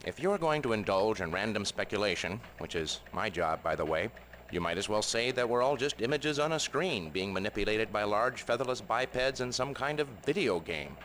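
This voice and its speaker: An actor playing a smug rooster expert on a video game news show, glib and condescending. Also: male voice, fairly low pitch, lively intonation, moderate pace, a bit bright, slight background noise. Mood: dismissive. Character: male rooster expert pundit, smug and dismissive.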